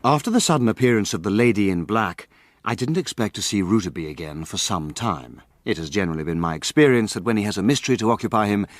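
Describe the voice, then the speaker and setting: Actor as fussy gentleman, expressively pitched. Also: lively intonation, fast, no room sound, close to an everyday voice. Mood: measured narration. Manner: formal, proper, spluttering phrasing. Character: fussy, loyal gentleman, easily flustered and indignant. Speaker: narrator and character. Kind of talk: period radio drama acting and narration.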